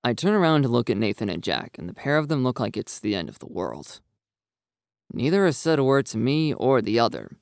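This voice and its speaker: A man narrating a first-person visual novel with expressive, lively intonation. His voice has a gravelly edge, recorded close and dry. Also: mid-range voice, brisk, dry recording. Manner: expressive, storytelling delivery.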